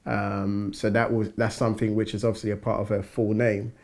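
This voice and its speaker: A man speaks casually with a low, flat voice, pausing often with ums as he explains. The delivery is relaxed and unhurried. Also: creaky. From southwest London. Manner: relaxed, hesitant, many ums. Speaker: married guest sharing his experience. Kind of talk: casual personal testimony.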